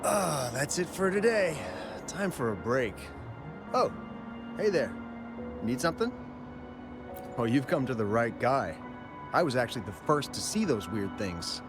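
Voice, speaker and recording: A man's voice: medium pitch, big pitch swings. An actor playing a nervous, talkative young male miner recounting what he saw. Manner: talkative, jumpy, slows down when describing monsters. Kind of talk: game cutscene dialogue. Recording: game music underneath. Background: music.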